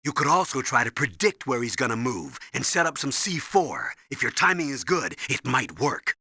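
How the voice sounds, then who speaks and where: An actor playing a stern older colonel in a video game, briefing and giving orders with a husky, commanding voice. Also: male voice, mid-range voice, very animated, normal speed, sharp tone, no room sound, clean studio sound, heavily acted. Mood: instructive. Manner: commanding, urgent, instructive.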